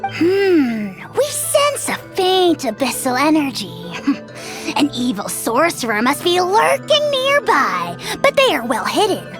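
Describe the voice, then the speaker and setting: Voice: high voice, wildly swooping pitch, takes it slowly, exaggerated voice. An actor voicing a tiny, haughty dragon creature in a video game, pompous and insulting, with game music behind. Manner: pompous, imperious royal "we", insulting. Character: tiny haughty, bombastic self-proclaimed dragon lord creature. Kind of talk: video game character acting.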